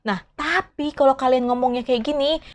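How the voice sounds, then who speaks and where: A woman with a high, light voice teaches a language lesson in a casual, chatty way. She keeps asking her viewers questions and drops English words into her explanations. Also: normal speed.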